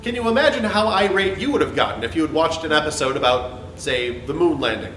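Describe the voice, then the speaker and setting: A man's voice: a little high, animated, a little fast, a bit bright. Male reader, theatrical and emphatic, swooping delivery of a scripted argument, echoey and distant over music. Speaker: host reading his own essay. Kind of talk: dramatic reading of an argumentative script. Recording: roomy, off-mic sound with background music.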